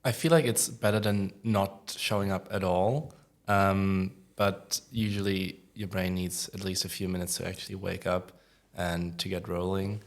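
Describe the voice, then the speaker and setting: A man's voice: sits low, fairly even intonation, unhurried, few pauses. A young man from Germany giving level, reasoned views as a podcast guest. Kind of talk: casual discussion.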